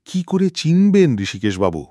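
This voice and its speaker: A confident male detective played by a man reading a story, methodical and pressing. The pitch swings widely as he drives each point home, and the recording is close and dry. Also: very animated, normal speed, dark tone, no echo. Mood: accusing. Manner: methodical, emphatic, accusing. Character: confident male private detective laying out a murder case. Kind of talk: dramatic audiobook character reading.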